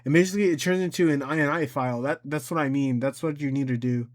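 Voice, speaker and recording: A man giving a casual, unscripted software tutorial, thinking aloud as he goes, haltingly repeating and correcting himself. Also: on the high side. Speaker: tutorial host.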